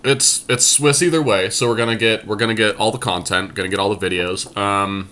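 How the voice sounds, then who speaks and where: A man's voice: lowish voice, steady tone, brisk, bright, forceful tone. A man co-hosting casual gameplay commentary, thinking aloud and explaining his choices as he goes. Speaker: podcast co-host and card game player.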